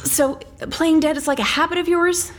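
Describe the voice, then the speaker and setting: A woman's voice: high voice, fairly even intonation, normal speed, lightly acted. An actress playing a blunt, indignant, sarcastic female intelligence agent in an audio drama. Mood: grossed out.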